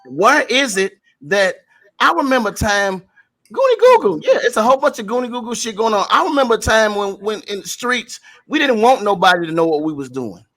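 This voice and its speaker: A man's voice: high voice, sing-song, fairly quick, a bit bright, soft background music. A man co-hosting a talk show, ranting casually in colloquial street talk, repeating himself and swearing mildly as he preaches. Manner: frequent mild swearing. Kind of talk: casual opinionated rant to co-hosts and audience.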